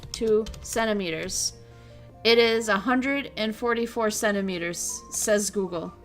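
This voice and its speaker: A young woman chatting on her stream, telling stories with lots of animation and frequent laughter. Her voice sits at an ordinary pitch, and faint music plays underneath. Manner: animated storytelling, laughs often, reads chat. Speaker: VTuber streamer. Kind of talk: casual chat stream recap.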